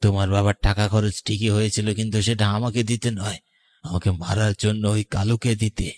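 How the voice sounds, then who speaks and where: A man playing the vengeful ghost of a murdered young lover in an animated horror story, accusing and deliberate. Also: on the low side, close to an everyday voice. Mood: bitter, sorrowful.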